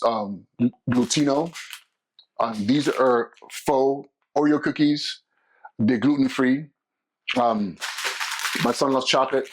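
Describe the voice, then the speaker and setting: A man's voice: mid-range voice, slight background noise, low-quality recording. A middle-aged man from California hosting his own podcast, talking casually with lots of restarts and fillers. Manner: conversational, many restarts and fillers. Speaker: podcast host and school principal. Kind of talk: casual solo podcast talk.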